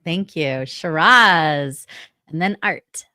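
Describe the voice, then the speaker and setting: A woman hosting a panel interview over a video call, speaking in a slow, drawn-out way and laughing often. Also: deep voice, animated, sharp tone, dry recording, clean studio sound. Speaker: summit host.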